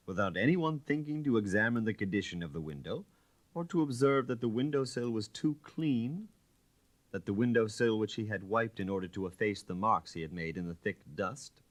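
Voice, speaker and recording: A man voicing an audacious young gentleman thief in an audiobook, courteous and teasing, with theatrical delivery. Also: mid-range voice, dry recording, voice pushed up.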